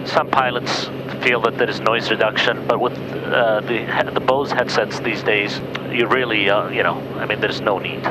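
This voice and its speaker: A man's voice: fairly low pitch, fairly even intonation, moderate pace, hardly any pauses. A man explaining things plainly as a pilot instructor, talking on a headset in a turboprop cockpit. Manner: explanatory, matter-of-fact. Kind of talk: cockpit instruction and radio calls. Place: inside a small turboprop cockpit in flight. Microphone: aviation headset. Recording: loud aircraft cabin noise. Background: loud turboprop engine drone.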